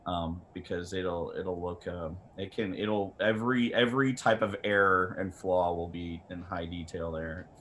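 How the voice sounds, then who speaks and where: Guy kinda low voice explaining tech stuff on a panel, stumbles a bit, bit of noise on the call. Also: measured pace, hardly any pauses, fairly bright tone. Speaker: camera technology expert on a panel. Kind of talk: informal technical explanation in an online workshop. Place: online video call.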